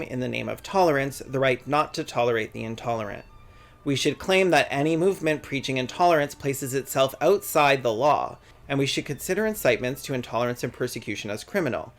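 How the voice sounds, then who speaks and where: Narratore canadese di video saggi, tono sardonico e colloquiale, con qualche parolaccia. La voce ha un'altezza media e c'è una lieve musica di sottofondo. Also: mid-range voice. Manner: sardonic, conversational, profane. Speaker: video essayist. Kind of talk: scripted video essay narration.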